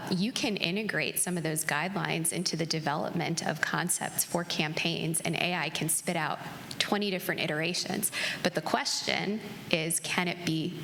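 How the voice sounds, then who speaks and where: A woman giving a measured answer on a conference panel. Her low voice has a gravelly fry, and it rings out with long reverb through a large ballroom over a faint hum. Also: a bit bright.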